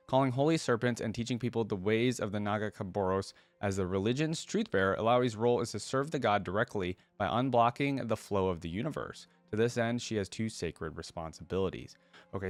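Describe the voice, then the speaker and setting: A man's voice: lowish voice, no echo. A man hosting a reaction video, reading text aloud and dropping in conversational asides. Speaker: YouTube reaction host. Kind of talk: casual reaction commentary mixed with reading aloud.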